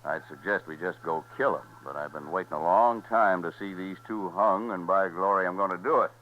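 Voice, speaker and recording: A man's voice: low-pitched, fairly quick, lightly acted. An actor playing a hearty, determined Old West lawman gunfighter in a 1950s radio western, blunt and folksy. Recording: muffled, noisy 1950s radio recording.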